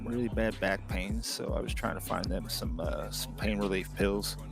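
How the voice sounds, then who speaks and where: A man playing a laid-back cannabis dealer in an improvised game roleplay, chatting in a halting, slangy way. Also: low voice, creaky, over music. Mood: casual. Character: laid-back male cannabis shop businessman in crime roleplay. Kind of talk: improvised roleplay, casual chat.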